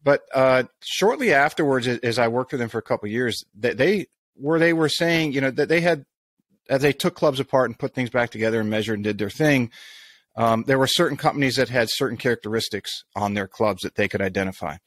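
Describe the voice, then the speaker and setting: A man's voice: medium pitch, fairly quick, a bit bright, dry recording. A man hosting a golf podcast, talking in long rambling sentences with many restarts and asides. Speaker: podcast host. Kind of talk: casual interview chat.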